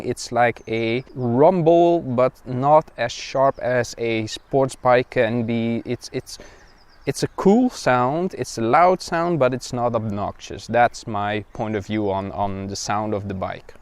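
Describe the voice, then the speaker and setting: A man with a clear, smooth voice of average pitch talks through a hands-on review like a vlogger. His delivery is casual and somewhat halting, as if working out the explanation while he goes. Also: dry recording. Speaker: vlogger reviewing a motorcycle exhaust.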